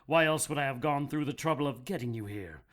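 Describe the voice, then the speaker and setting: A man's voice: mid-range voice, quick pace, fairly bright tone, lightly acted. Guy acting a stern fanatical monk villain, cold pompous menace, cruel captor in a radio play. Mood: smug, menacing. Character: stern, menacing zealot religious brother, cruel witch-hunting captor. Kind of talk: audio drama villain acting.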